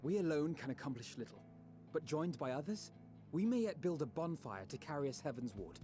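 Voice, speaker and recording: A man playing an earnest, well-spoken young strategist in a video game, eloquent and deliberate. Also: fairly high pitch, sing-song, warm tone, soft background music. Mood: resolute. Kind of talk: video game cutscene acting.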